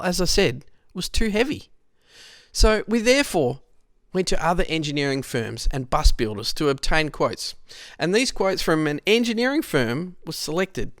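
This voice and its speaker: An Australian man reading a scripted historical narration in a measured, explanatory way. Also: a little high, vocal fry, a bit bright, no echo, clean studio sound.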